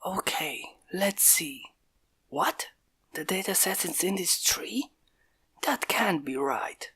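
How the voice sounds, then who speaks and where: A man voicing a methodical male human inventor who gives terse, hushed orders in a fanfic reading. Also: high voice, animated, slow, husky, exaggerated voice.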